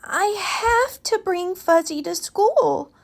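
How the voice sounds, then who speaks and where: A woman's voice: extremely high pitch, expressive, slow, gravelly, voice pushed up, exaggerated voice. A woman voicing a stubborn, insistent little boy in a children's storybook. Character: stubborn little mouse boy attached to his blanket.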